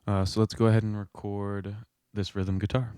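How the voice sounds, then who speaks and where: A man in his twenties talking casually and low-key as he explains his home recording setup into a close mic. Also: flat delivery, moderate pace, loud music behind the voice. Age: 20s. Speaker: musician and host of a home recording video. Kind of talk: casual explanatory vlog talk. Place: small home studio room, indoors. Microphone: close microphone, handheld or on a stand.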